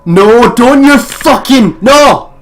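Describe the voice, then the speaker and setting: A woman's voice: fairly high pitch, lively intonation, slow pace. A young woman hosting a let's play, chatting casually and expressively as she comments on the game, with plenty of swearing.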